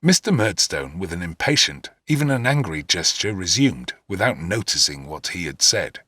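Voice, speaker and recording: A man narrating a classic novel in the first person, in an expressive literary reading style. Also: low voice, moderate pace, husky, no room sound. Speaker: audiobook narrator.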